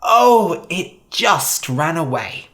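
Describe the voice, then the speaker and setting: Storyteller doing a fibbing shepherd boy, lively, shrill when shouting. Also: drawn-out words, exaggerated voice. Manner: shouting loud alarms, wheedling excuses. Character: boastful lying shepherd boy.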